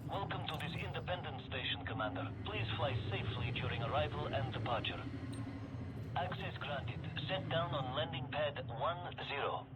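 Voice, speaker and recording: A man playing a formal, courteous space station traffic controller, giving scripted clearances in a game through a radio filter. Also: on the high side, lively intonation, normal speed. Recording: very noisy radio transmission.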